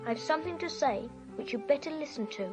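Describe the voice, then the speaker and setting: Grumbling schoolboy, high voice, suspicious, contrary, children's audio drama, music behind. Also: female voice, expressive, brisk.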